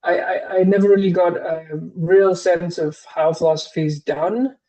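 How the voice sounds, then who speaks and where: A man's voice: sits high, fairly even intonation, moderate pace, dark tone, lo-fi sound. A man in his thirties, from Singapore, answering interview questions as a guest professor, hesitant, with many fillers and restarts.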